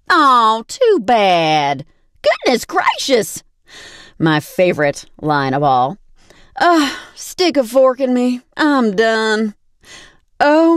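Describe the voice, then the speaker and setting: An actress voicing a sassy, sweet-talking southern belle in a game, drawling in a syrupy way. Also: female voice, on the high side, dry recording, studio quality, exaggerated voice. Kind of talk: game character voice lines.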